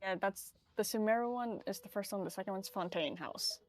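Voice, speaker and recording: A woman chatting casually about a game, halting and repeating words as she explains. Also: mid-range voice. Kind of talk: casual gaming chat.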